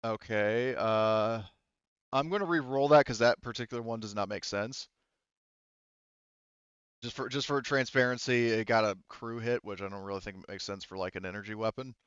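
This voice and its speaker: A man with a fairly low, smooth voice runs a tabletop roleplaying game. He works through rules out loud in an informal, swearing-friendly way. The recording is dry and a little lo-fi. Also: moderate pace, dry recording. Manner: informal, swears casually, thinks aloud. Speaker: game master.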